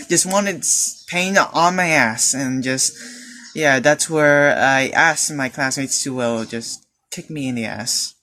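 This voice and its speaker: A teenage Filipino man telling a personal story in a hesitant, halting way, with many fillers and restarts. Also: fairly high pitch, fairly bright tone. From Philippines. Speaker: student. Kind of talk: personal confession monologue.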